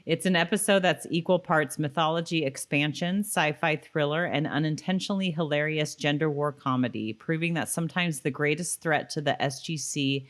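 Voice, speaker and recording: A woman's voice: deep voice, normal speed, hardly any pauses. A woman chatting casually as co-host of a podcast that recaps TV episodes.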